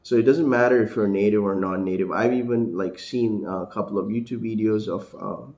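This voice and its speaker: Deep-voiced man with neutral American accent. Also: brisk, darkish tone, roomy sound, muffled recording. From India. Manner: rambling, many fillers and restarts. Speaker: English teacher and vlogger. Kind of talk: casual talking-head vlog.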